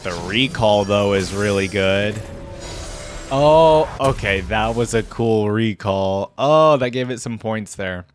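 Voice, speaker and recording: A man's voice: fairly low pitch, slow, a little hiss or hum. A man giving casual, off-the-cuff reaction commentary as a video host, thinking aloud in an informal way.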